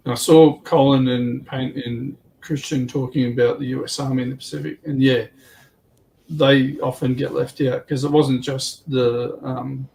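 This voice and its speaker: A man with a gravelly, creaky voice at a middling pitch chats on a hobby livestream. He is informal and halting, working out his thoughts aloud, with faint noise behind him. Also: level tone, darkish tone, poor audio. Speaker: livestream co-host. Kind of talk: casual hobby and military history discussion. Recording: faint background noise, room ambience.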